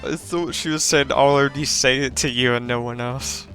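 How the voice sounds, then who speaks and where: Bright-voiced man musing over a game. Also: mid-range voice, steady tone, normal speed, soft background music. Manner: musing, thinking aloud. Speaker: gaming streamer. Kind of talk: casual game playthrough commentary.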